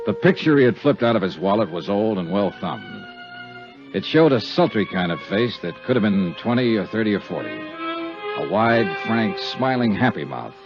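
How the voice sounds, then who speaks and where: A man's voice: fairly low pitch, sing-song, normal speed, vocal fry. An actor playing a tough, dry male insurance investigator in a 1950s radio detective drama, both narrating and in dialogue. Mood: matter-of-fact narration. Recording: dull 1950s radio recording, music behind.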